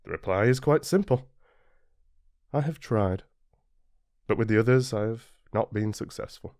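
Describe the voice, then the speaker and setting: A British man narrating a storybook as an audiobook, reading expressively and deliberately with dramatic pauses. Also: fairly low pitch, darkish tone, dry recording, studio quality. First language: English. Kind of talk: scripted storybook narration, read-along.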